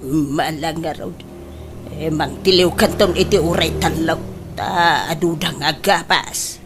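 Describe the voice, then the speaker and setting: A woman's voice: sits low, fairly even intonation, measured pace, background noise. An actress playing a blunt, scolding middle-aged village mother in an Ilocano radio drama. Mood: businesslike.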